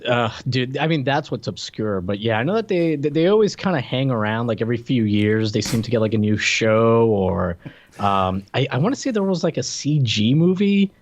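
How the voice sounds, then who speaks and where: A man's voice: medium pitch, lively intonation, moderate pace. A man in his late thirties co-hosting a podcast, chatting casually with wry, joking remarks. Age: late 30s. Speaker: podcast co-host. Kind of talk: casual chat.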